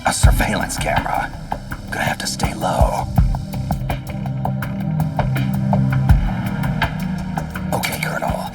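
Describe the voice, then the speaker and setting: An actor playing a gruff, stealthy special-forces soldier in a video game drama, speaking tersely in a gravelly, breathy near-whisper. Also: male voice, fairly quick, distant mic, lightly acted. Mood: focused, hushed. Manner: terse, low-voiced radio reporting. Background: music.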